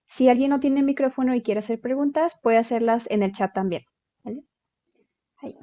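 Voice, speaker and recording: A woman's voice: a little high, normal speed, mellow, muffled recording. A woman hosting a webinar over a video call, giving plain instructions to attendees. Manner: plain, instructive, checks in with listeners. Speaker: webinar host.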